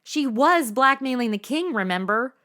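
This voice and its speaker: A woman's voice: high voice, expressive, moderate pace, bright, forceful tone. A woman with an American accent playing a sassy, slangy modern young woman, sarcastic and brash, in a comedy audio drama.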